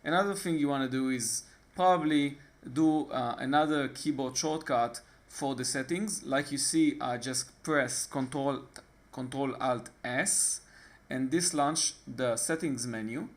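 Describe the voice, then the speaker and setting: An Israeli man with a medium-pitched voice hosts a casual screen-recording tutorial. He speaks in a relaxed, unscripted way and often stumbles into fillers and restarts. He sounds like he is recording at his own desktop computer. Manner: halting, frequent fillers and restarts. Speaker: tutorial host.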